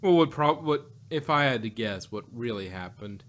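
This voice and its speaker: A man talks casually as a podcast co-host. His voice sits at a middle pitch. His delivery is halting and conversational, often with a chuckle in it. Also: expressive, a bit bright. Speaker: podcast co-host reviewing audio dramas. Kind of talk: casual chat.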